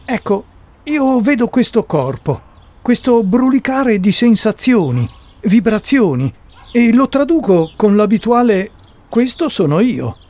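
A man's voice: high voice, big pitch swings, unhurried, darkish tone, no room sound, pitched above the performer's own voice. A man voicing a curious, earnest male interviewer in a radio play, courteous and puzzled in his questioning, with music under the voice. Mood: probing. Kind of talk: radio drama dialogue.

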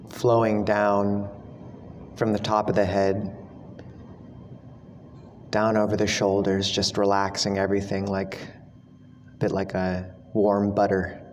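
A man teaching a guided meditation, speaking slowly and gently with long pauses. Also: fairly low pitch, completely flat delivery. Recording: echoey hall with long reverb, background noise.

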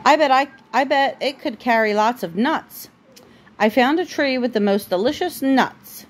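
High-voiced grateful squirrel read by a woman. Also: normal speed. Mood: admiring. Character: grateful, admiring female squirrel who loves nuts.

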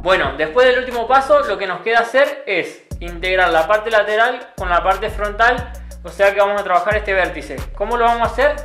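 A young man, a barber calmly explaining a haircut step by step in a small barbershop. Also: sits high, fairly quick, hardly any pauses. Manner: calm, instructive, repeats steps patiently. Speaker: barber instructor. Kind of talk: step-by-step tutorial narration. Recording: roomy sound with music behind. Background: background music and clipper buzz.

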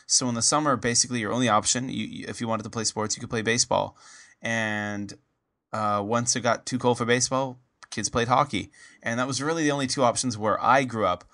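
A Canadian man hosts a relaxed podcast interview in a bright, forward voice. He rambles a bit, restarting his sentences and filling pauses with "um" and "uh.". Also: medium pitch. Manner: rambling, many fillers and restarts. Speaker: podcast host. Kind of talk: casual interview.

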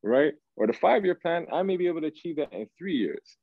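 A man's voice: on the high side, sing-song, fairly quick, dry recording. A man, chatting casually as an interview guest, slangy and often checking that he is followed. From Canada, raised in Toronto. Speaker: guest, R&B singer. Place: indoor room. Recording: dull, lo-fi video call.

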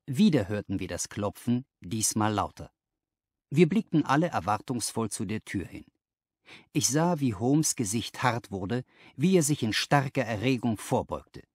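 A man's voice: medium pitch, darkish tone, breathy edge, no room sound, clean studio sound. A man reading a story aloud as scripted literary narration. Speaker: audiobook narrator.

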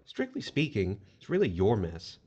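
A man plays a wry, officious spirit who collects the dead in a horror audio drama. His pitch swings theatrically through formal explanations, on a slightly muffled recording. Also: wildly swooping pitch, normal speed, a little mellow, husky, full character voice. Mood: officious, explaining. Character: smooth-talking, officious male otherworldly collector of souls.

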